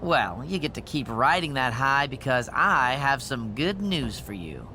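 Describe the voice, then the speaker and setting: A man's voice: medium pitch, very animated, unhurried, sharp tone, a little hiss or hum, exaggerated voice. A man playing a smug, needling backstage official in a wrestling video game story.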